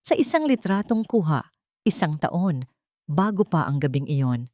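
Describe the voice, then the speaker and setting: A woman with a Filipino accent reading scripted romance fiction in a measured, dramatic storytelling manner. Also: deep voice, sing-song, warm tone, no echo, studio quality. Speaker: story narrator.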